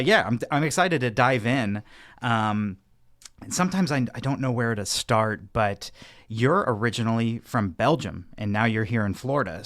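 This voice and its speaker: A man's voice: medium pitch, fairly bright tone, no echo, studio quality. A man hosting a podcast, introducing his guest with enthusiasm and a lot of rambling. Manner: enthusiastic, rambling with fillers and restarts. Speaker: podcast host. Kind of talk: casual interview hosting.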